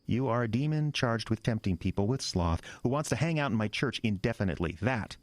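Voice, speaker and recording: Casting: male actor as earnest small-town young pastor, deep voice, wounded and suspicious, horror audio drama. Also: animated, no echo, close to an everyday voice. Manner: halting, exasperated, distrustful.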